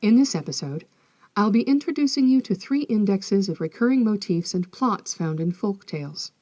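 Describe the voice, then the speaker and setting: A woman hosting an educational podcast, reading scripted narration in a measured, articulate, explanatory manner. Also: medium pitch, expressive, dark tone, no echo, soft background music. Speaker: podcast host, folklorist.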